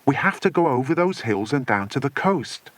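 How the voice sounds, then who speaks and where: Schoolboy adventurer acted by a male reader: plucky, urgent, animated audiobook delivery. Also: mid-range voice, normal speed, no room sound, lightly acted. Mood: decisive.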